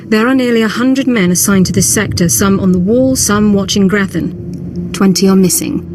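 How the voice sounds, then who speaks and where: A woman's voice: mid-range voice, moderate pace, background noise, close to an everyday voice. Woman as a frank, tough army officer. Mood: frank, exasperated. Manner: frank, no-nonsense. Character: weary, tough, protective female military officer. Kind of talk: video game voice acting. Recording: music under the voice.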